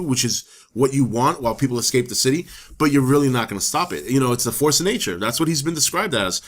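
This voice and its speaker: A man hosting his own channel, talking casually to camera, a little hoarse from the flu, rambling with many fillers and restarts. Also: medium pitch. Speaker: channel host. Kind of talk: casual opinion talk to camera.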